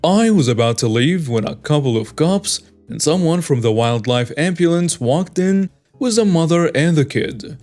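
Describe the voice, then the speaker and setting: A man narrating online stories in a steady, even read, his voice sitting fairly high for a man. Soft background music plays faintly under the narration. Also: normal speed, hardly any pauses. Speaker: story narrator.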